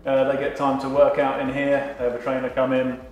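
A man's voice: mid-range voice, completely flat delivery, fairly quick. A man guiding a tour of his team's factory, explaining things in a plain, matter-of-fact way with frequent ums. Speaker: team staff member giving a tour. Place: large workshop indoors. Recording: roomy workshop echo.

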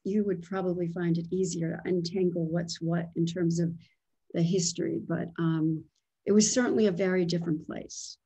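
Female guest, sixties, Pennsylvania-raised, low husky voice, thoughtful, webcam from home. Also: fairly even intonation, moderate pace, mellow, low-quality recording. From small town in Pennsylvania. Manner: reflective, thinking aloud. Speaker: guest, writer and former editor. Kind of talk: conversational video-call interview. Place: home living room with bookshelves. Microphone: video call.

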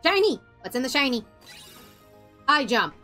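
A woman chats casually over a game she is playing. Her pitch is high and jumps around in a lively way, with silly exclamations and repeated phrases. Game music runs faintly beneath her. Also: normal speed. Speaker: game streamer. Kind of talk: casual playful gameplay commentary.

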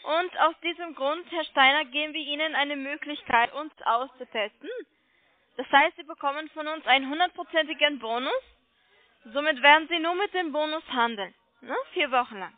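A woman with a high-pitched voice makes a sales call. She is polite but persistent, coaxing the listener with questions. The sound is a dry, narrow telephone line. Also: moderate pace, no room sound. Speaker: telemarketing caller selling online trading. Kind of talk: sales pitch over the phone. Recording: muffled phone line.

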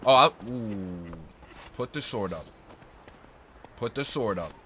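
A man's voice: lowish voice, lively intonation, slow pace, bright, forceful tone, slight background noise. An American man doing casual, slangy gameplay commentary, swearing and brashly reacting to the game. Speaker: gamer streamer. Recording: game sounds behind the voice. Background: game ambience and horse hooves.

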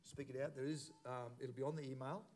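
A man, a pastor, leading a church service in a relaxed, informal way and asking quick questions. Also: medium pitch, slight background noise. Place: church hall.

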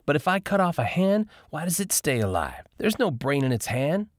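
A man's voice: fairly high pitch, very animated, normal speed, no room sound, studio quality, voice pushed up. A man voicing a sassy, blunt, teasing young woman in an audiobook. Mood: mocking, sassy.

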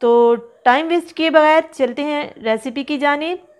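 A woman hosting a home cooking tutorial, chatting through each step and reading out ingredient amounts slowly, often repeating them. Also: high voice. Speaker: cooking channel host. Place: home kitchen.

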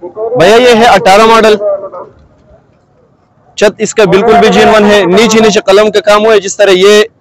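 A man's voice: high voice, level tone, normal speed, long pauses, a crowd in the background, poor audio. A man with a Pakistani Urdu accent, a seller at an outdoor car market, giving casual and confident answers about his vans. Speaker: van seller.

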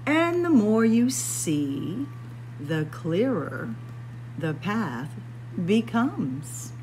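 A woman hosting a story time, reading a picture book to young children in a sing-song way with drawn-out phrases and dramatic pauses. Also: medium pitch, big pitch swings, a little mellow, a little hiss or hum, poor audio. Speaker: library story time host. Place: indoors among library bookshelves.

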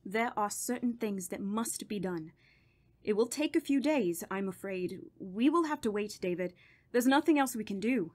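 An actress playing a grave, commanding woman doctor who studies evil spirits in a radio horror drama, speaking solemnly and deliberately. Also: medium pitch, expressive, darkish tone, natural voice. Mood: grave, explanatory.

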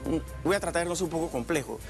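A man's voice: a little high, rapid-fire, music in the background. A middle-aged man, a doctor explaining things in plain terms on a studio talk show. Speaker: infectious disease doctor guest. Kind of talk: explanatory TV interview.

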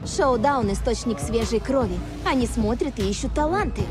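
An actress voicing a brash, eager young female street racer in a video game, teasing and excitable. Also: on the high side, moderate pace, over music, lightly acted. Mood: eager, confiding.

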